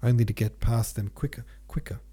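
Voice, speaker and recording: A man's voice: mid-range voice, fairly quick, dark tone, slightly rough, close to an everyday voice. A man voicing an earnest, anxious young hussar officer in an audiobook, urgent and questioning. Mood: anxious resolve.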